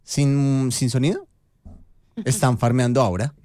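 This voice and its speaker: A man with a Colombian accent hosting a comic radio show, bantering in a teasing, excitable way. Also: medium pitch, measured pace, no room sound. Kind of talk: comic banter, reacting to videos. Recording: loud music under the talk. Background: music.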